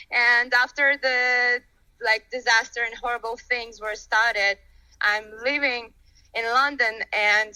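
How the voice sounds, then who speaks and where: A woman from Ukraine talks in simple, English and repeats words warmly. Her voice is very bright and smooth, and set fairly high. The sound is dry and close, with no room echo. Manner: simple non-native English, warm repetitions. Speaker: guest, performer. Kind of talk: casual interview. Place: outdoors on a windy street.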